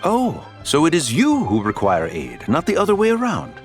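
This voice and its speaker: A pompous, dignified immortal from a game cutscene, whose pitch swoops dramatically through formal, archaic phrases. Faint music plays behind the voice. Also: male voice, wildly swooping pitch, moderate pace. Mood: stately.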